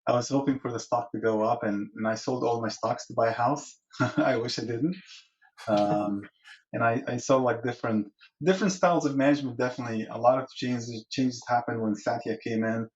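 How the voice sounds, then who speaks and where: A man's voice: mid-range voice, brisk, a little mellow. A man in his forties from Egypt, a guest in a podcast interview, who talks in long rambling answers full of restarts and fillers. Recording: dull lo-fi sound.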